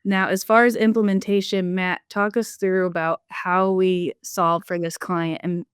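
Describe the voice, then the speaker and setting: A woman's voice: lowish voice, little pitch movement, unhurried, smooth, no room sound, clean studio sound. A woman hosting a business podcast discussion in a polished, upbeat corporate manner. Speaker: podcast host.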